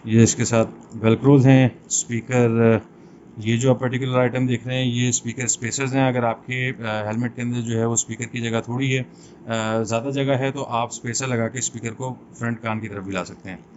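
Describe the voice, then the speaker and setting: A man's voice: medium pitch, flat delivery, moderate pace, a little mellow. A man giving an explanatory product review in a conversational way, mixing English terms into his speech. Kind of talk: unboxing and review talk, explanatory. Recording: dull lo-fi sound, faint background noise.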